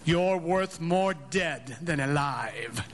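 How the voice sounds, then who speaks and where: A man's voice: a little high, lively intonation, slow pace. An actor in a live radio drama playing a cruel, gloating, vengeful rich old man with scornful, theatrical emphasis. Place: theater stage, live broadcast. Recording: reverberant stage hall with background noise.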